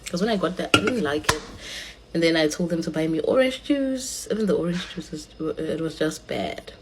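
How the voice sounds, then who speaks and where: A young woman from South Africa talks casually to her camera, lively and drawn out on the words she stresses. Her voice sits a little low for a woman, with a faint hum of the room behind her. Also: poor audio. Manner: chatty, animated, drawn-out emphasis. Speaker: vlogger.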